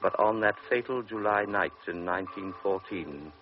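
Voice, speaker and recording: A man narrating an old radio drama in a measured, grave storytelling manner. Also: on the low side. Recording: dull old radio recording with faint music.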